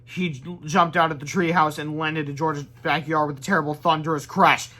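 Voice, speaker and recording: Bright, high-pitched man reading a children's book. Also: moderate pace. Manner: animated, exclaims sound effects. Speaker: read-aloud presenter. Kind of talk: expressive storybook read-aloud with ad-libbed asides.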